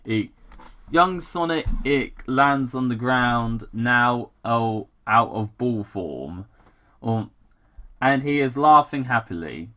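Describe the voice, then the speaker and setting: Animated, bright-voiced fan fiction reader. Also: mid-range voice. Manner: halting, self-deprecating, excitable. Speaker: host reading fan fiction aloud. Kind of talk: casual dramatic reading with rambling commentary.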